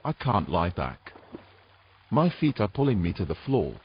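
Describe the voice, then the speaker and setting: A man's voice: on the low side, big pitch swings, a little slow, muffled recording, slight background noise. A man playing a proud, nervous knight in a fantasy audio drama, speaking in formal old-fashioned phrases with dry sarcasm. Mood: panicky.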